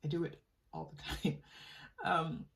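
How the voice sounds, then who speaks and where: Woman giving a casual, halting tarot reading. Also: low-pitched, little pitch movement, vocal fry, recorded from a distance. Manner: halting, conversational, often seeking agreement. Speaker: tarot reader and channel host. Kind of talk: casual improvised spiritual reading to the viewer.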